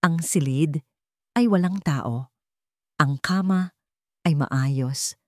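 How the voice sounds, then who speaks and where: Low-voiced woman narrating drama. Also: takes it slowly, no echo, clean studio sound. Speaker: story narrator. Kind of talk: dramatic scripted narration of a love story.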